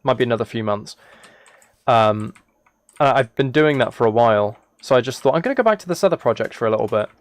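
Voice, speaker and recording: A man chatting casually as a live game streamer, musing aloud in a relaxed, low-key way. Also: fast, no echo. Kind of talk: casual chat over Minecraft gameplay.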